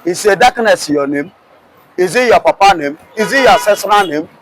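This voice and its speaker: A man's voice: high voice, animated, moderate pace, background noise. A man with a Nigerian accent, arguing passionately in a street interview with many rhetorical questions and restarts.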